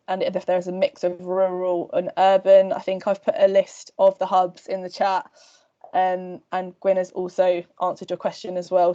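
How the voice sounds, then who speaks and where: A woman presenting her team's next steps and taking questions on a video call, speaking casually with many ums. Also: medium pitch. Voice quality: relaxed, filler-heavy delivery with many ums. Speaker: project team member hosting a video-call show and tell. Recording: dull lo-fi video call audio.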